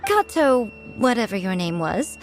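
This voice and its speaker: A woman's voice: on the high side, very animated, measured pace. A woman playing a sly, haughty shrine priestess in a video game, teasing and nonchalant in manner. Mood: businesslike. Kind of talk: video game character acting. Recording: music playing behind the voice.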